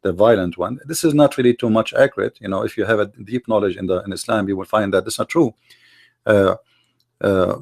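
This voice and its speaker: A man's voice: lowish voice, fairly quick, darkish tone. A man whose first language is Arabic, a podcast guest arguing points at length in non-native English with many fillers. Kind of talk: podcast interview.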